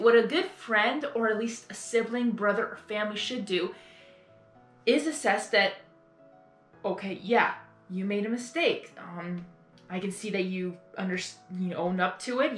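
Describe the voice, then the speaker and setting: A young adult woman giving casual reaction commentary in an earnest, halting, repetitive way. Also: mid-range voice. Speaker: reaction video host. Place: small bedroom, indoors. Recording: roomy bedroom echo.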